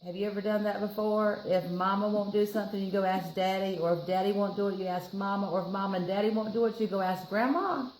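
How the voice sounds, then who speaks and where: A woman with a Southern US accent reading a picture book aloud to children, with playful, expressive, chatty asides. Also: mid-range voice, fast talker, few pauses, echoey room, slight background noise, rough recording. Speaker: children's storytime reader.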